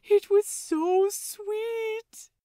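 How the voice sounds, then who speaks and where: A woman voicing an excitable, exasperated young male pirate scribe with dramatic, emphatic delivery in a story reading. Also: extremely high pitch, drawn-out words, no echo, clean studio sound, pitched above the performer's own voice, full character voice. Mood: gushing.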